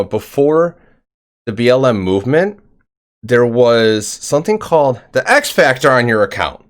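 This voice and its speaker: A man hosting a casual interview podcast, talking in a loose, rambling way with lots of fillers. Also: medium pitch. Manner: rambling, filler-heavy, upbeat. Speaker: podcast host.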